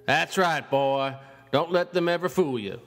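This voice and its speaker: An actor playing a brash, boastful cowboy uncle and zombie hunter in an audio horror story, with a folksy, coarse American Southern drawl. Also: male voice, a little high, measured pace, a bit bright. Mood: warning. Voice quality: drawling country speech. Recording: long reverb, music underneath. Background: guitar music.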